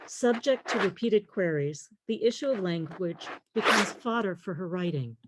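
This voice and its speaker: A middle-aged woman lecturing on literature, reading in a measured, deliberate way with pauses. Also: medium pitch, animated, very noisy. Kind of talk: prepared lecture, reading quotes and poems aloud.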